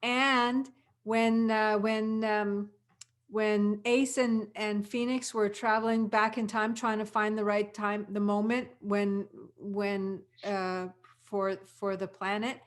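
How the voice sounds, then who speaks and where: A woman's voice: medium pitch, level tone, slow. A woman in casual chat, recounting scenes hesitantly and repeating words. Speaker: audio drama cast member.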